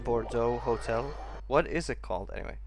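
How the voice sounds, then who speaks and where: A man's voice: mid-range voice, a little fast, music in the background. A man streaming a game with casual commentary, rambling and thinking aloud as he plays.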